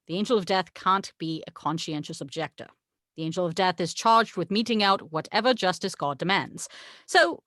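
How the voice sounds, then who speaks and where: A woman's voice: mid-range voice, fairly bright tone, no echo, studio quality. A woman reading the part of a haughty, sarcastic angel in a script table read, with a wry, exasperated, condescending manner. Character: haughty, sarcastic tequila-loving angel, the voice of God.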